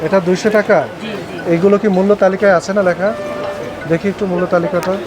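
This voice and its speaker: High-voiced Bangladeshi male inspection official. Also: level tone, quick pace, crowd noise. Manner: formal, instructive, questioning. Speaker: consumer rights enforcement official. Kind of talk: on-site inspection questioning and explaining. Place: recorded on location at market shops. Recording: busy outdoor market street noise.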